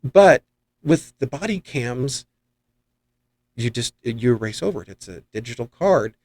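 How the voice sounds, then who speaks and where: A man's voice: mid-range voice, lively intonation, a little slow, a little mellow. A man hosting a podcast, telling rambling, enthusiastic anecdotes in a casual chat. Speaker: podcast host and paranormal investigator.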